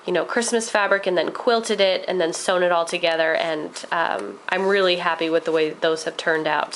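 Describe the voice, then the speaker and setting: Young woman vlogging at home, kinda nasal from a cold, gravelly edges, faint hiss behind. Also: medium pitch, fairly bright tone, poor audio. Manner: chatty, enthusiastic, with frequent ums. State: getting over a cold, slightly nasal. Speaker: crafting vlogger. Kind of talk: casual show-and-tell vlog.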